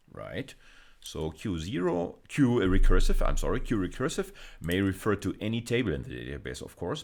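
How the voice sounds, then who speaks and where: Male university lecturer, low-pitched voice, lively swooping intonation, deliberate step-by-step explaining. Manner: deliberate, step-by-step explaining, often repeating phrases for emphasis.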